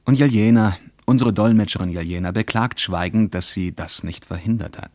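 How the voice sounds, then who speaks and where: An actor playing a male traveller reading his travel diary in a radio play, in a measured, observant literary manner. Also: lowish voice, a little mellow, no echo, close to an everyday voice. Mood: reflective.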